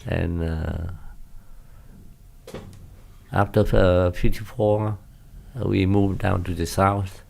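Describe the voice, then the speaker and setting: A man in his seventies with a Vietnamese accent, a non-native speaker recalling his past in an interview, halting often with many hesitations and loose grammar. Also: sits low, steady tone, gravelly, a little mellow. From northern Vietnam. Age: 70s. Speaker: guest, former pilot. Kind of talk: casual interview recollection.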